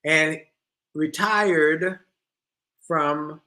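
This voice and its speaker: A middle-aged man co-hosting a marriage advice show, retelling a listener's letter in an animated way and often repeating himself. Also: on the high side, drawn-out words. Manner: animated storytelling, repeats phrases.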